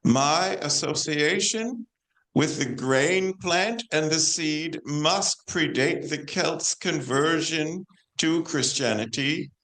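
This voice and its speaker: Woman acting a slow, stately female saint. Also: sits low, a bit bright, heavily acted, poor audio. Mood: dignified, earnest. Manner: measured, declamatory storytelling. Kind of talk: amateur stage play performance.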